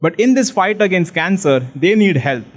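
A young man giving an earnest, formal research pitch into a handheld mic in a large hall. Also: animated, moderate pace. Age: young adult. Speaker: graduate student pitching research. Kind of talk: memorized elevator pitch. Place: large hall with glass walls, indoors. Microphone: handheld microphone.

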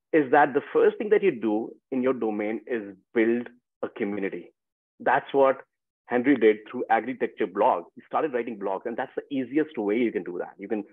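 Chatty host on a tinny dry line. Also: male voice, mid-range voice, breathy edge, dry recording. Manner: chatty, repeats "I mean, like". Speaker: webinar host. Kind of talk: hosting an online masterclass. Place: room with bookshelves, indoors. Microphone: headset on a video call.

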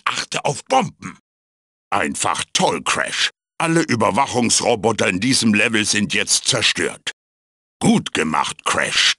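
An actor voicing a wise, bossy old magical wooden mask in a video game, raspy and theatrical, urging and instructing like a mentor. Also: male voice, medium pitch, sharp tone, no echo, clean studio sound, exaggerated voice. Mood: urgent, then instructive, encouraging. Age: older. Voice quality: raspy, gravelly. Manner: theatrical, emphatic, exhorting. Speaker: guide and tutorial mentor. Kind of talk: video game character voice acting.

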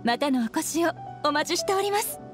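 An actress playing a friendly young kimono shopkeeper in an anime game, speaking with courteous customer-service politeness. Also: female voice, high voice, lively intonation, brisk, over music. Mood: friendly, grateful. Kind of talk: Japanese anime game voice acting.

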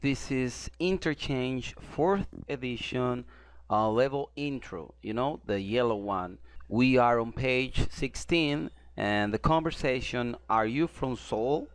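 Male teacher with Spanish as his first language, explains slowly and repeats every phrase, dry close mic. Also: medium pitch, clean tone, no room sound. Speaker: English teacher. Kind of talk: English lesson explained in Spanish.

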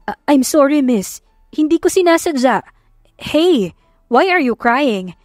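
A woman acts out a flustered young Filipino gentleman in a romance audiobook. He asks anxious, hurried questions in a raised pitch, with music behind him. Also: big pitch swings, dry recording, studio quality, full character voice. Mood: flustered concern. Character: flustered, concerned young wealthy businessman.

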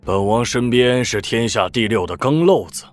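A man's voice: mid-range voice, animated, moderate pace, lightly acted. A man playing a powerful, ambitious warlord prince, self-assured and probing, in a wuxia audio drama. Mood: imperious probing. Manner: imperious, probing.

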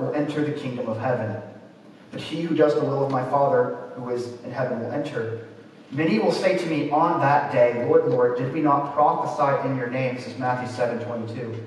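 A man in his twenties preaching a sermon from a church pulpit, reading and explaining scripture. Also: on the high side. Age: 20s. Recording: echoey church, distant mic, faint noise.

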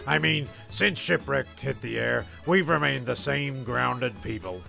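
A man's voice: mid-range voice, unhurried, vocal fry, pushed and bright, soft background music, full character voice. A man playing an irritable, sardonic narrator of an island comedy, telling it like a storybook with grumpy asides. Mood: dry narrating. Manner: exasperated, sardonic. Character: irritable, foul-mouthed male narrator of an island farce. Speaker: narrator of a comedy audio series.

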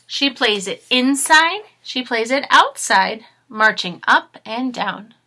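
A woman in her thirties, expressive and instructive, speaking to children as a teacher does in a read-aloud lesson. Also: a little high, takes it slowly. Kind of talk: storybook read-aloud with grammar explanations. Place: small furnished room at home.

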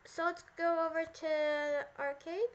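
A female gamer with a high voice chats casually as she plays along in a roleplay. The recording has clear background noise and some clicking. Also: level tone, unhurried, lo-fi sound. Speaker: gamer playing a sister character in a roleplay video. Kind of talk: casual gameplay roleplay chat.

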